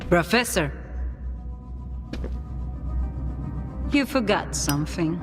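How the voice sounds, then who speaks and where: An actress playing a poised, manipulative official in a game cutscene, measured and coolly persuasive, flattering with a silky threat. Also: female voice, fairly low pitch, lightly acted. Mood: cool, accusing. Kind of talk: video game cutscene acting. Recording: long reverb, loud music behind.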